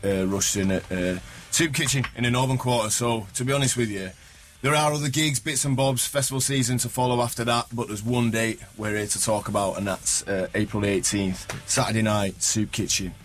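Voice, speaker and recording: A man's voice: fairly low pitch, fairly even intonation, fairly quick, few pauses. A man, a band member talking casually and slangily in a radio studio interview. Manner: informal, slangy. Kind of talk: casual live interview chat. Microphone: studio broadcast microphone.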